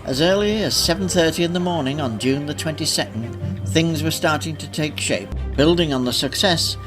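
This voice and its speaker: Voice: mid-range voice, moderate pace, poor audio. A narrator reading a scripted community newsreel. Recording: music behind the voice.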